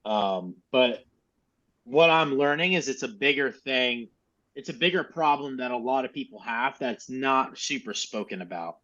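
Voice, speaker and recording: A man's voice: mid-range voice, expressive, moderate pace, bright, forceful tone. A man coaching a group in casual, informal, mildly profane instructional talk over a lo-fi video call. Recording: dull, lo-fi video call audio.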